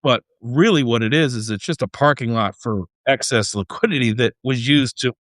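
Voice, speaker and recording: A man with a mid-range voice talks like a podcast host working through an idea out loud. His phrasing rambles a little and lands emphatically on key words, recorded dry and close. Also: medium pitch, normal speed, dry recording. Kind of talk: conversational explaining.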